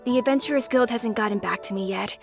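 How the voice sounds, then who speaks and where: An anxious young daughter fretting over her sick father. Her voice sits high and earnest. Soft game music plays beneath her. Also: fast talker, gravelly. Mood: worried. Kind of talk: game voice acting.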